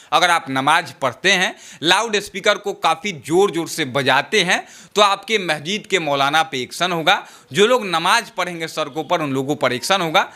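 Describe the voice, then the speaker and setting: A man's voice: on the high side, bright, forceful tone. A man presenting and explaining political news in an emphatic, repetitive way.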